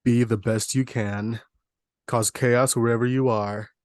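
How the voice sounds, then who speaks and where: A man co-hosting a podcast in casual conversation, with measured, wry and sometimes hesitant phrasing. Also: medium pitch, fairly even intonation, no room sound, clean studio sound. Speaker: podcast co-host. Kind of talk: casual chat, discussing films.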